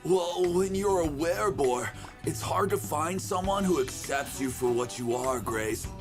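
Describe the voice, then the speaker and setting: A man's voice: fairly high pitch, lively intonation, measured pace. An actor playing a goofy, lovelorn eighteen-year-old wereboar henchman in a comic video game, with hesitant boyish bravado. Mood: pleading. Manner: hesitant, pleading, juvenile bravado. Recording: game music behind the voice. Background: game music.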